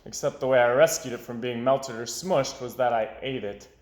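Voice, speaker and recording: A man voicing a dramatic, hard-done-by young boy in an expressive storybook read-aloud, theatrical and emphatic. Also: medium pitch, moderate pace, reverberant, natural voice. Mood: aggrieved, rueful. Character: young boy, dramatic, aggrieved, forever losing his money.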